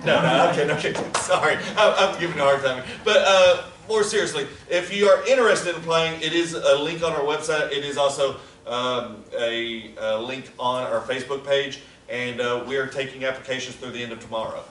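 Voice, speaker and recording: A man's voice: mid-range voice, fairly quick, few pauses, a bit bright. Man making announcements in a roomy hall. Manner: chatty, teasing, with laughs. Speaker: theater company organizer. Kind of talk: casual announcements to an audience. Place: theater or event room. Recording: roomy, from far off, faint noise.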